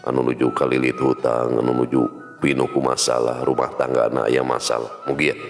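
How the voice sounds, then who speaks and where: Very deep, gravelly Sundanese-accented man. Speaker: storyteller leading a closing prayer. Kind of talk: devotional talk and prayer recitation. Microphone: studio condenser with pop filter, very close. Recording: long hall reverb, music behind. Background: music.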